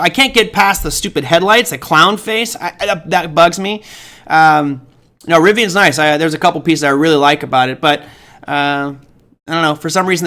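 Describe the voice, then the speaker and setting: A man's voice: fairly high pitch, sharp tone. A middle-aged man hosting a live trading stream, chatting casually with viewers in a rambling, joking way full of fillers. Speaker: host of a trading livestream. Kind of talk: casual livestream chat answering viewers.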